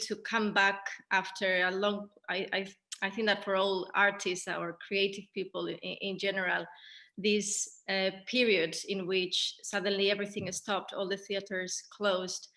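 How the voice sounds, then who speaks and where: A woman moderating a conversational post-show interview, phrasing her thoughts in a measured, halting way. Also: mid-range voice, bright, forceful tone. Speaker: theatre director, Q&A moderator.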